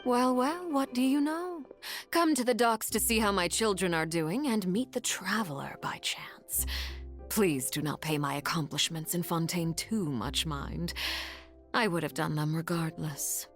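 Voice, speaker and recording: An actress playing a commanding, enigmatic woman of high rank in a video game, speaking in a measured, formal, coolly intimidating way. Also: faint music behind. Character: commanding, enigmatic female harbinger, called Father by her wards. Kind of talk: dramatic video game voice acting.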